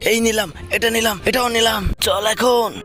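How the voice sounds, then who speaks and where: A man's voice: sits high, steady tone, moderate pace, close to an everyday voice. A man voicing a brash, foul-mouthed comic troublemaker in a cartoon, with crude mock-vlogger bravado. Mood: scheming. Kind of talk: comedy cartoon dubbing. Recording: loud music over the voice.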